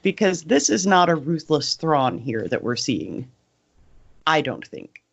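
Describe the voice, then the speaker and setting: A man's voice: fairly high pitch, sing-song, a little slow, vocal fry, a bit bright, husky. A man hosting a podcast, speaking conversationally or reading aloud. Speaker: podcast host. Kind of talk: casual discussion, some read-out synopsis. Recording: muffled, dull audio.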